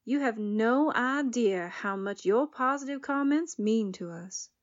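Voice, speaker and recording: Woman podcast performer, animated swings in pitch, slightly dull recording, listener address. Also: unhurried. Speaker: podcast cast member thanking listeners.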